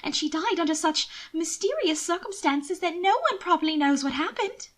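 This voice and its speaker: A woman's voice: very high voice, expressive, normal speed, pitched above the performer's own voice. A woman voicing an anxious, sly young genteel lady in an audiobook, speaking in an urgent, coaxing, dramatic way. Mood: grave warning. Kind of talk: audiobook character dialogue.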